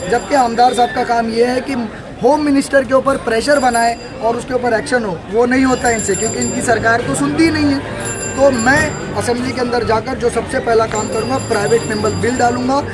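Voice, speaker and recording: A man giving an assertive, emphatic political pitch as a candidate in a campaign interview, often repeating his points. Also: steady tone, fairly quick. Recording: loud music, crowd and street traffic. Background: music, crowd, vehicles.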